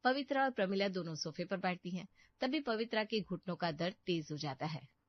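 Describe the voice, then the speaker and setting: A woman's voice: fairly low pitch, lively intonation, brisk, dry recording. Woman narrating a cartoon story, dry sound. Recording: dull, muffled studio voice track.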